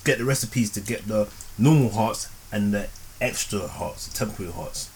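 A man co-hosting a casual podcast, telling stories in an animated way with quick asides. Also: on the low side, slight background noise, poor audio. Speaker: podcast co-host.

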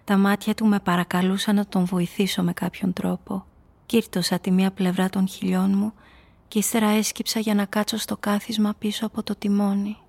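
An actress playing a calculating seductress who narrates her own story in a radio drama, measured and intimate. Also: mid-range voice, little pitch movement, creaky, darkish tone. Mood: cool, pitying.

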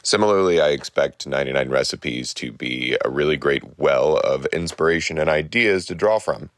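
A man from Southern California, a listener giving an earnest, steady message of thanks to a podcast host. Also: a bass voice, moderate pace, no echo, clean studio sound. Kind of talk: read message.

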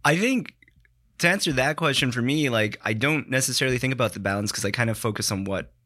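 A man's voice: medium pitch, quick pace, sharp tone. A man chatting informally as a studio podcast guest, thinking aloud as he talks. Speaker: podcast guest, digital content creator. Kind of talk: casual chat.

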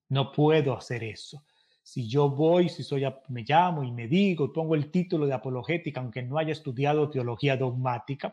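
Man, priest, podcast host, earnest, emphatic, repeats himself for stress, slightly high voice. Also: a little mellow. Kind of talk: informal teaching monologue.

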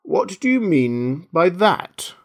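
A man's voice: mid-range voice, huge pitch swings, mellow, full character voice. A man voicing a stern, contemptuous storybook caterpillar in a languid, sleepy and curt manner. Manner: sleepy, slow drawl, curt.

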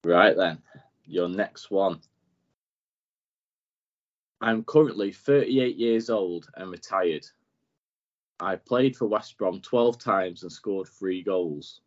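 Brief: male quizmaster, relaxed delivery, lowish voice, muffled lo-fi sound. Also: slow pace, pauses often. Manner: laid-back, reads clues plainly, chuckles often. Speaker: podcast quizmaster. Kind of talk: casual quiz chat. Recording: dull lo-fi podcast audio.

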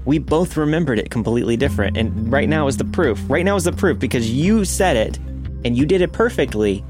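A man hosting a podcast, telling anecdotes casually in an animated, conversational way. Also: medium pitch, a little fast, over music. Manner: animated storytelling with mimicked sounds. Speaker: podcast host.

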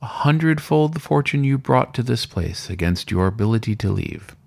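A man's voice: mid-range voice, lively intonation, normal speed, vocal fry. A man voicing a seductive, courtly weasel who is master of a haunted hotel, speaking in somber, whispered storybook dialogue. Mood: seductive, solemn.

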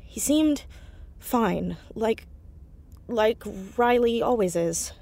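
A woman's voice: fairly high pitch, expressive, very slow, creaky, a little mellow, heavily acted. A young woman playing an earnest, stubborn, bookish teenage girl detective in an audio drama, wordy and stammering when anxious. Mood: uneasy, recounting. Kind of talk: naturalistic audio drama acting.

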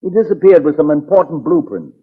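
An actor playing a polite, earnest industrialist client in a 1940s radio detective drama. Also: male voice, a little high, lively intonation, a little fast, husky. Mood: explaining. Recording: muffled, tinny 1940s radio recording.

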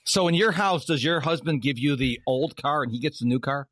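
A man's voice: fairly high pitch, fairly bright tone, dry recording. A man from Rochester, New York, a podcast guest who jokes and teases the host and laughs easily. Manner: joking, teasing, quick to laugh. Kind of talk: casual interview chat.

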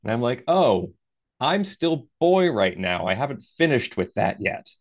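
A man in his thirties with a deep voice, a podcast guest talking things through in a reflective, measured way with lively asides. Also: lo-fi sound. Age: 30s. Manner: reflective and measured, lifts into animated quoted asides. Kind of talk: casual interview chat.

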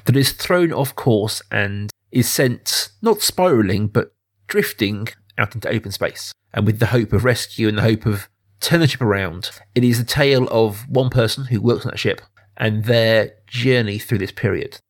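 Male podcast host, mid pitch, conversational film talk, searches for words and rephrases. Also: normal speed.

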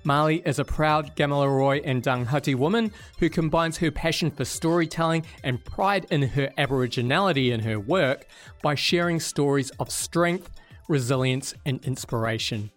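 A man's voice: a little high, normal speed, soft background music. A man hosting a podcast, reading a welcoming intro and then starting an interview in an upbeat manner. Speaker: podcast host.

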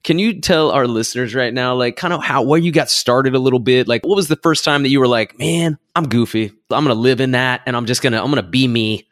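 A man's voice: medium pitch, fairly quick, few pauses, fairly bright tone. A man hosting a podcast, asking questions in an enthusiastic, joking way. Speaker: podcast host. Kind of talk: casual podcast chat.

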